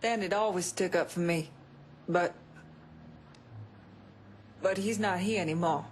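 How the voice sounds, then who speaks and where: Woman playing a hurt but tough lady, lowish voice, sardonic, game cutscene with faint noise behind. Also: unhurried, close to an everyday voice. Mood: guarded, vulnerable. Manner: frank, sardonic, wounded. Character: bitter, guarded, tough gangster's daughter. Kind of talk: video game voice acting.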